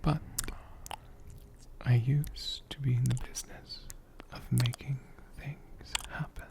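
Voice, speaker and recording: A man voicing an ancient, gentle, affectionate dragon in soft-spoken whispered ASMR, lingering over words and softly repeating them. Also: medium pitch, flat delivery, slow pace, vocal fry. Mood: soft, soothing. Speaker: ASMR host answering viewer questions. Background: computer keyboard typing.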